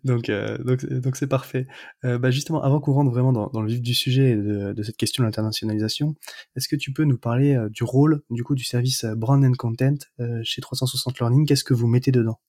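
A man's voice: medium pitch, steady tone, brisk, darkish tone, no room sound, studio quality. A man hosting a podcast, questioning a guest in a hesitant way, with lots of fillers and restarts. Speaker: podcast host. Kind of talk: interview conversation.

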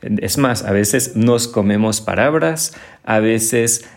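A man with a fairly low voice speaks Spanish with a strong French accent. He hosts a casual podcast, answering listener questions patiently. He often repeats and restarts small words as he goes. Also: slow. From France. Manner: friendly, explanatory, many small repetitions and restarts. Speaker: podcast host and French teacher.

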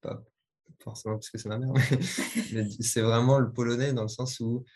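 A young adult man speaking casually as an interviewee, relaxed and easygoing. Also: medium pitch, moderate pace. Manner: relaxed, casual, slightly laughing. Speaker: interviewee, son.

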